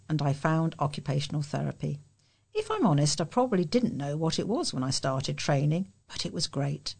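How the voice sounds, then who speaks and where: British woman reading news, lowish voice. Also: a little mellow. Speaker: volunteer news reader. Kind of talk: reading local news articles aloud.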